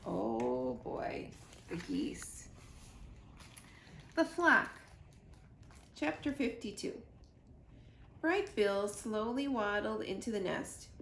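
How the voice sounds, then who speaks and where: A woman narrates a children's story expressively. Her voice is fairly high with a creaky edge, and the room adds a clear echo. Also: big pitch swings, drawn-out words, pauses often, fairly bright tone, a little hiss or hum. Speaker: library reader. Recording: roomy, echoey indoor sound.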